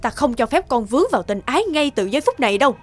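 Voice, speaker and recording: A woman's voice: sits high, big pitch swings, rapid-fire. An actress playing a proud, domineering mother in a fairy-tale cartoon, strict and insistent. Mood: stern. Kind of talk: animated fairy-tale acting. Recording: music behind the voice.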